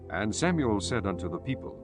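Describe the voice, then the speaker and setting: A man's voice: fairly low pitch, big pitch swings, fairly quick. A man narrating scripture as the scripted narrator of an audio Bible drama. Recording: prominent music behind the narration.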